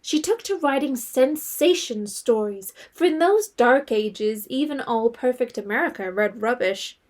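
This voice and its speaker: An actress narrating a period novel as a composed storyteller in scripted audio drama style. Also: female voice, on the high side, animated, unhurried, darkish tone. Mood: wry, composed.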